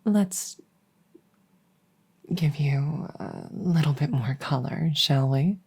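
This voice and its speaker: Woman as a breathy, devoted vampire maid. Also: low-pitched, takes it slowly, full character voice. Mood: gentle, tactful. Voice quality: breathy, often whispered. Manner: deferential, gentle, formal. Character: devoted, adoring centuries-old vampire maid to royalty. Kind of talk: soft-spoken roleplay comfort ASMR.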